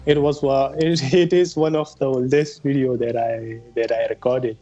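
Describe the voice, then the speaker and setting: A man's voice: mid-range voice, fairly even intonation, moderate pace, dark tone, soft background music. A young man in his twenties with a Pakistani accent, a Pashto native speaker, answering hesitantly with many fillers over an earphone mic on a video call. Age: 20s. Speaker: guest, drone pilot. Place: plain room indoors. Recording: dull lo-fi call audio.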